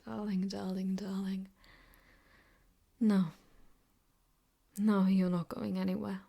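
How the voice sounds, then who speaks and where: A woman playing an obsessive, possessive yandere ex-girlfriend in an improvised ASMR roleplay, speaking intimately and haltingly, often slipping into whispers. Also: mid-range voice, level tone, vocal fry, warm tone, breathy edge, exaggerated voice. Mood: coaxing, possessive. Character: obsessive, possessive yandere ex-girlfriend holding her captive lover.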